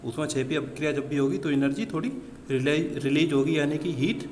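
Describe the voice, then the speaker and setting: A man works through practice questions as a coaching teacher. His voice sits a little high, and he explains things to his listeners as friends, dropping in English technical words. The recording is reverberant, with some noise behind him. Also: brisk, darkish tone. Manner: mixes English technical terms into speech. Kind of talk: practice question walkthrough lesson. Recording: reverberant room with background noise.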